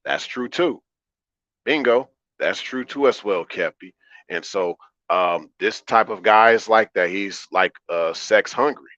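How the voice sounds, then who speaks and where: A man with a deep baritone voice talking calmly and conversationally as a livestream host, repeating phrases for emphasis. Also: measured pace. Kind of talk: conversational livestream monologue, answering chat. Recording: roomy, slightly dull lo-fi audio.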